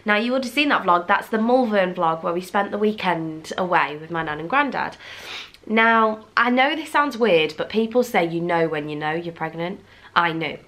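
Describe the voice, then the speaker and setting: A woman's voice: on the low side, animated, moderate pace, fairly bright tone. A young woman vlogger from England chatting candidly to camera, with a blocked, fluey nose and lots of fillers and restarts. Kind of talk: casual chat to camera.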